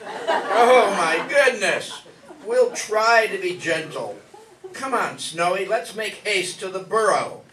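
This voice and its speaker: Man playing a slow, formal, heroic special agent. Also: sits high, expressive, background noise. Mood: gallant. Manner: formal, measured, self-assured. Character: suave, unflappable, heroic male special agent. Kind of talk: old-time radio detective spoof acting. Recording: echoey room, distant mic.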